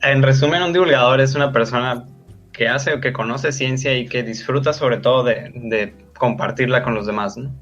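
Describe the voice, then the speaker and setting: A man's voice: mid-range voice, moderate pace, a bit bright, soft background music. A twenty-six-year-old man, a podcast guest explaining things casually with fillers. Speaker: guest, national society president. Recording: muffled lo-fi mic.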